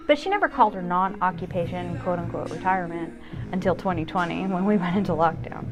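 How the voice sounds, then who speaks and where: Low-voiced woman in her fifties narrating over music. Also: quick pace. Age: 50s. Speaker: solo show host. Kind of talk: scripted personal essay narration.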